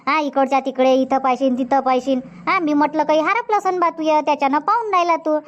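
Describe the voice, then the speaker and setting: Cartoon granny, acted out: high voice, blunt and scolding, Varhadi Marathi accent, muffled lo-fi sound. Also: fairly even intonation, fast, lightly acted. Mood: grumbling. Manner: nagging, threatening, blunt. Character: scolding, stern old village grandmother. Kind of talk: cartoon comedy dialogue. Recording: dull, muffled lo-fi audio.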